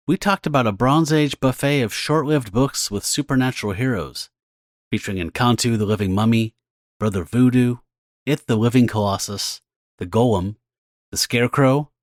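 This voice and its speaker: A man's voice: mid-range voice, moderate pace, no room sound, clean studio sound. A man speaking as a podcast host, reading a scripted announcement.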